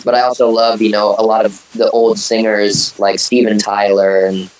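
A man who sings in a band, answering questions in a relaxed, halting way. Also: fairly low pitch, rough recording. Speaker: band singer, guest. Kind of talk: casual interview answers.

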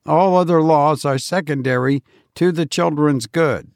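A man voicing a calm, strict robot teacher who speaks flatly and without feeling. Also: fairly high pitch, no room sound, studio quality, pitched above the performer's own voice. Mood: stern. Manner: flat, unfeeling, measured.

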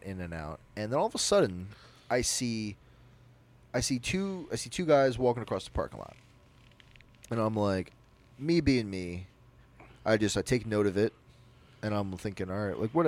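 Young man telling a story animatedly. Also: on the low side. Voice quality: naturally loud voice. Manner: animated, rambling, with frequent restarts. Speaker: podcast co-host. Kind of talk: casual storytelling.

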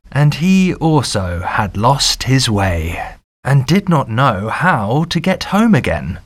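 A man narrating a fairy tale audiobook in a measured, deliberate storytelling manner. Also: mid-range voice.

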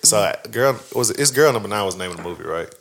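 A man, co-hosting a casual podcast chat and telling stories in loud, slangy bursts with lots of laughter. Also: fairly low pitch, lively intonation, rapid-fire, vocal fry, a little hiss or hum. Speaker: podcast co-host.

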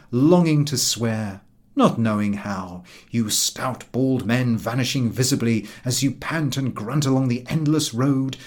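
Animated male audiobook narrator, swooping pitch. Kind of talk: expressive scripted narration of comic prose.

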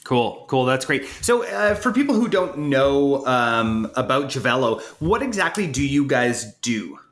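Upbeat podcast host, lively pitch. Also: male voice, unhurried. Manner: upbeat, joking, lively questions. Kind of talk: podcast interview and ad read.

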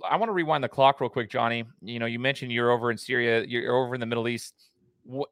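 A man's voice: medium pitch, a bit bright, no echo, clean studio sound. A man hosting a podcast and coaching basketball, asking long, rambling questions in a casual chatty way.

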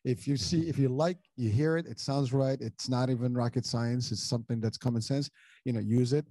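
A man's voice: medium pitch, fairly even intonation, fairly quick, warm tone, no echo, studio quality. A middle-aged man from Morocco hosting a casual interview, talking in long rambling sentences full of restarts and repeated words. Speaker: podcast host.

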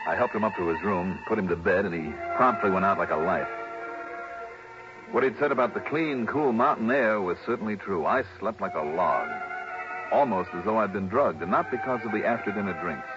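Casting: male actor as cool, level-headed private eye, low voice, clipped and probing, old dull-sounding radio drama. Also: expressive, fairly quick, natural voice. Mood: reflective. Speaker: lead actor and narrator. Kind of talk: 1950s-60s radio detective drama acting, first-person narration. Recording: dull old radio recording, music behind.

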